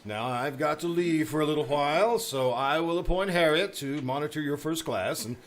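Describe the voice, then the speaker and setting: A man's voice: fairly high pitch, normal speed, close to an everyday voice. A man playing a pompous, easily flustered middle-aged school principal in an old-time radio comedy, blustering and hemming. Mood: huffy. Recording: noisy live stage recording.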